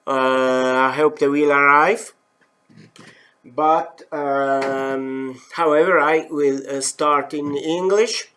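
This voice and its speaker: A man from the German-speaking part of Switzerland talks in a Swiss German accent, slowly and haltingly, working in English as a non-native speaker. He pauses on fillers and corrects himself as he goes. He sounds middle-aged and hosts a live wood turning demo from his workshop. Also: a little high, fairly even intonation, pushed and bright. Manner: hesitant, many fillers and self-corrections. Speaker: professional wood turner. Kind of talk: live-stream demo talk.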